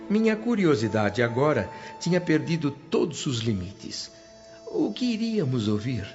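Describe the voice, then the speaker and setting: A man's voice: mid-range voice, sing-song, normal speed, darkish tone. An awestruck, curious newcomer to the spirit world, telling his tale in a radio drama. His Brazilian speech is earnest and reverent, with literary phrasing. The audio is dull, with music playing underneath. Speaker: narrator and lead actor of a radio drama. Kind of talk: dramatised first-person radio narration.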